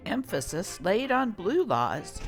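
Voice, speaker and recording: A woman's voice: medium pitch, big pitch swings, slow pace, over music. A woman hosting and reading old newspaper articles aloud in a scripted narration style.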